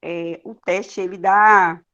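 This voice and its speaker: A woman's voice: on the low side, steady tone, slow, bright, forceful tone, muffled recording. A woman with a Brazilian accent, a health worker asking a question after a lecture. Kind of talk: audience question.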